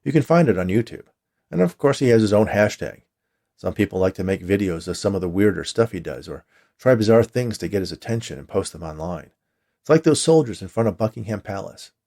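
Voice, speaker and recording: A man's voice: lowish voice, lively intonation, fairly quick, gravelly, warm tone. A man voicing a matter-of-fact veteran watcher who explains the job to a new hire in a story reading. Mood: matter-of-fact explaining.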